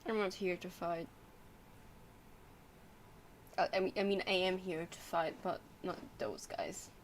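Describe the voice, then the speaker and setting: A woman's voice: fairly low pitch, steady tone, moderate pace, slight background noise. A woman streaming a video game, rambling casually and muttering to herself as she plays. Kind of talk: casual gameplay commentary. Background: game music.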